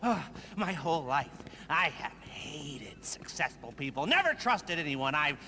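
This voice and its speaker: A whiny self-loathing hacker. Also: male voice, a little high, very animated, measured pace, sharp tone, big-room sound, a little hiss or hum, heavily acted. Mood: self-pitying. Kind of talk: video game cutscene voice acting.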